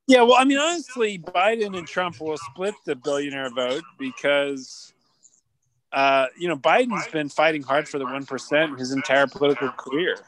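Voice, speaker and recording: A man's voice: a little high, moderate pace, fairly bright tone, poor audio. A male guest on a political podcast, talking with a sardonic, cynical edge. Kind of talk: casual political chat.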